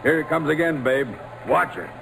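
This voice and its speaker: High, jeering rival ball catcher. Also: male voice, creaky. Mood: mocking. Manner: jeering, drawn-out delivery. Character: taunting, cocky rival baseball catcher, male. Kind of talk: old-time radio drama acting. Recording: noisy old radio recording.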